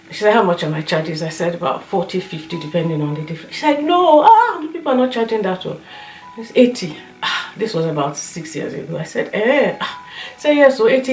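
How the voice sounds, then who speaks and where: A woman with a slightly hoarse voice, chatting casually as a vlogger giving her opinions and telling anecdotes. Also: on the low side, a little mellow. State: slightly hoarse, recovering from a cough. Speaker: YouTube lifestyle and beauty vlogger. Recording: roomy echo, light music underneath.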